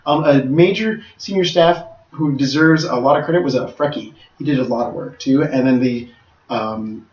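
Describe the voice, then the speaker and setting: A man's voice: medium pitch, moderate pace, darkish tone. A man organizing tournaments, talking through a casual podcast recap in a rambling way with many restarts. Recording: roomy, distant, dull mic.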